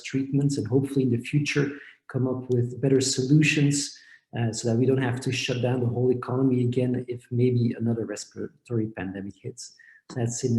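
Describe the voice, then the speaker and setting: A man from Belgium, a company founder, explaining his work step by step in a measured way over a video call. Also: medium pitch, mellow. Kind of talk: explanatory interview answers. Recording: echoey room.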